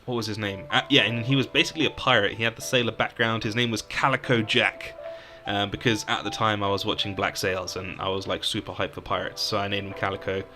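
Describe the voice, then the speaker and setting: A man from the UK chats casually as a podcast co-host, telling meandering stories dotted with "um"s. His voice sits low yet sounds bright and forceful. Faint music plays underneath. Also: flat delivery, quick pace, hardly any pauses. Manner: rambling, storytelling, frequent "um". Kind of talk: casual chat about tabletop games.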